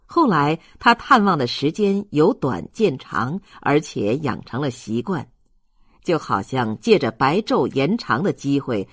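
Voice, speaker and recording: An audiobook narrator reading a literary novel in an expressive storytelling style. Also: medium pitch, measured pace, slightly rough, no echo, studio quality.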